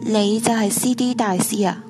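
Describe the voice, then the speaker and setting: A woman's voice: on the high side, steady tone, normal speed, natural voice. A woman playing a curt, mocking teenage schoolgirl in a radio drama, with a Hong Kong Cantonese accent. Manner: curt, challenging. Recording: loud music behind the voice.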